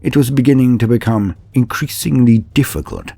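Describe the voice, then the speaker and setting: A man's voice: mid-range voice, expressive, normal speed, vocal fry, mellow, breathy edge, no echo. A man narrating a comedic fantasy war story in a dramatic, mock-epic way with wry asides. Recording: dry close recording with faint music.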